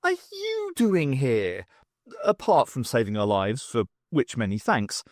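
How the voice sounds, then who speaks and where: A man voicing a sharp, wry adult heroine in an audiobook, emphatic and questioning. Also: medium pitch, huge pitch swings, slow pace, no room sound, studio quality. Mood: exasperated.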